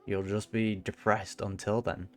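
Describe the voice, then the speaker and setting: A woman game streamer with an extremely low voice. She comments casually on what she is playing in informal, slangy speech. Faint music runs underneath. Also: little pitch movement, a little slow, darkish tone. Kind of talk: casual reaction commentary over gameplay.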